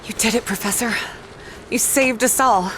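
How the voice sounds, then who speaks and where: A woman's voice: a little high, moderate pace, a bit bright, slight background noise, natural voice. An actress playing a devoted young woman in a story game, speaking with urgency and open emotion. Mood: urgent relief. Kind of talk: cinematic game voice acting.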